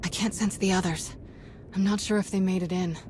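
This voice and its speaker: An actress playing a calm, wise mystical knight in a video game, with a soft, husky voice and a measured, solemn manner. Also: female voice, medium pitch, a little hiss or hum, close to an everyday voice. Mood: grave, focused. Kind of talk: scripted video game acting.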